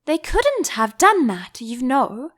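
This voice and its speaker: Woman playing a high-voiced, polite young girl. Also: huge pitch swings, slow, heavily acted. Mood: gently doubtful. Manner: gentle, earnest.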